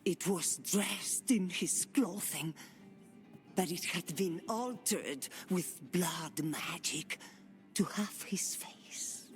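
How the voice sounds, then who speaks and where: A woman's voice: on the low side, slow, faint music behind. An older woman acting as a stern, grave matriarch of an assassin house in a video game. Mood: grave, secretive. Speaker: actor. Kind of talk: dramatic game cutscene acting.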